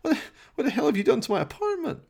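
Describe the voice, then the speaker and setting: A man's voice: very high-pitched, wildly swooping pitch, quick pace, vocal fry, darkish tone, breathy edge, pitched above the performer's own voice, heavily acted. A man voicing a flustered, indignant male captive in a comic radio play, stammering out exclaimed protests. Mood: shocked outrage.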